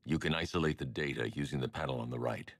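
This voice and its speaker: Stoic masked hero, bottom-of-the-range raspy voice, commanding, for a dark action game. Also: male voice, rumbling low, fast talker, dry recording. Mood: grim, controlled. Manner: terse, commanding, controlled. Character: grim, stoic adult male masked vigilante hero.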